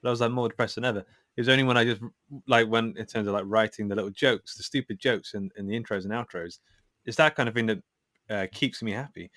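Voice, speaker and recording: Male podcast guest, lowish voice, close dry sound, candid and reflective, conversational. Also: fast talker, a bit bright, no room sound. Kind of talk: casual interview conversation.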